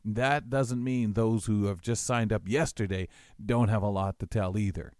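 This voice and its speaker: Guy hosting a radio show, lowish voice, rambly and reflective, really dry close sound. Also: steady tone, normal speed, darkish tone, no room sound. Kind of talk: casual spoken commentary to listeners.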